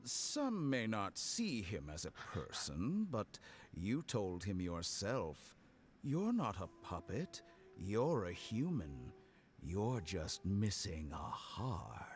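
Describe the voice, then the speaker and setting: Sly video game schemer, deep voice, very animated intonation, coolly menacing, theatrical, deliberate. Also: male voice. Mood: gloating, cold. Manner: theatrical, mockingly polite, deliberate.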